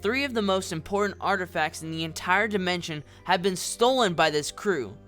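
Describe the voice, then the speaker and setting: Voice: medium pitch, animated, moderate pace, a bit bright, soft background music, close to an everyday voice. An actor playing a formal, accusatory prosecuting lawyer with an oratorical delivery in an audio drama. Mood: stern, persuasive. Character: formal, theatrical prosecuting lawyer in a fantasy court. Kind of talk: scripted audio drama courtroom speech.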